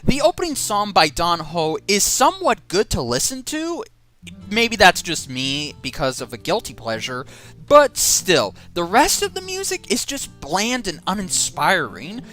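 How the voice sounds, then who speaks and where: A woman's voice: on the low side, huge pitch swings, unhurried, a bit bright, faint music behind. A woman giving a casual online film review, exasperated and sarcastic as she rants.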